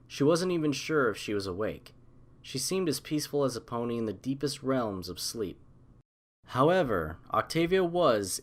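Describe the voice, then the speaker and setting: A man with a mid-pitched voice narrates a piece of fiction. He reads from a script as an audiobook storyteller. His delivery is measured and expressive.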